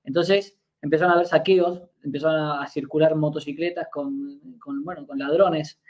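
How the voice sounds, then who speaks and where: A man's voice: fairly high pitch, steady tone, moderate pace. A man in his twenties with an Argentine accent, a podcast guest telling a long story in a casual, hesitant way, full of fillers and restarts. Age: 20s. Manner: hesitant, many fillers and restarts while recounting.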